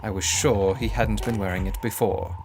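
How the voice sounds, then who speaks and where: A man reading dramatic first-person fiction in a deliberate, literary manner. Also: fairly low pitch, steady tone, music in the background, rough recording. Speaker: audiobook narrator.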